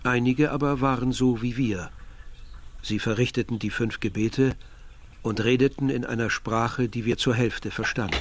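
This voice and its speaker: Radio play narrator: male actor as reflective villager, medium pitch, measured literary storytelling. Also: natural voice.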